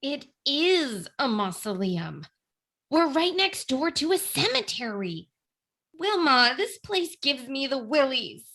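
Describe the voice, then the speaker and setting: A nervy, wisecracking female burglar from a comic radio play, played by a woman. She bickers in a high, very animated voice and takes her time over each line. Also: takes it slowly, heavily acted. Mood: nervous, wisecracking.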